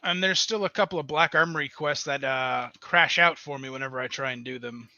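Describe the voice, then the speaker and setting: A man's voice: mid-range voice, a little fast, pushed and bright, dry recording. A man chatting casually about gaming as a podcast guest, on a dull, dry lo-fi voice channel.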